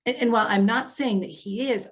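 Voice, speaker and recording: A woman's voice: fairly high pitch, fairly quick, lightly acted. A woman acting an earnest, protective social worker in readers theater, speaking carefully and insistently. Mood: cautioning. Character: earnest, protective female elder-care social worker, cautious.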